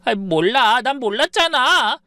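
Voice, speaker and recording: A bratty, snobbish grown daughter with a whiny, accusing tone, as a male narrator puts her on. The voice jumps well above his own and turns loud and sharp. The recording is close and dry. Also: high-pitched, big pitch swings, normal speed, no echo, voice pushed up, exaggerated voice. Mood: sobbing. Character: spoiled, shrill adult daughter, snobbish and bratty.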